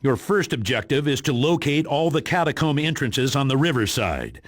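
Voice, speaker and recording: A man's voice: medium pitch, very animated, moderate pace, no echo, clean studio sound. A man playing a stern, businesslike spy commander giving video game mission briefings in an authoritative, matter-of-fact way. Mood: grave, informative.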